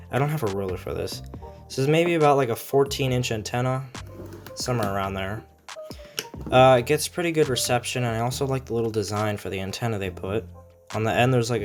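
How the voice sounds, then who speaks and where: A man giving a casual, unscripted product review in a rambling, matter-of-fact way. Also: mid-range voice. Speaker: video creator reviewing gadgets.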